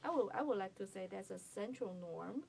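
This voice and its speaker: A woman with a Chinese accent explaining research as a guest expert, careful and halting with frequent fillers. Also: medium pitch, expressive, creaky, a little mellow, a little hiss or hum. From China. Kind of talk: academic explanation.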